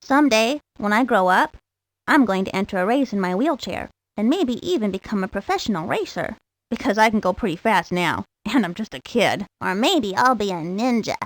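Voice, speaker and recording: Woman as an upbeat, lively-voiced young boy. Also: mid-range voice, normal speed, dry recording, natural voice, low-quality recording. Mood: eager. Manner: chatty, eager childlike delivery. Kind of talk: video game character monologue.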